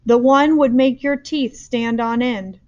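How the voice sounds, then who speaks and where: A woman's voice: a little high, fairly even intonation, measured pace, darkish tone, slight background noise, voice pushed up, natural voice. A woman voicing a big, friendly old male giant who mangles his grammar and invents words, in a dull lo-fi recording. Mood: fierce.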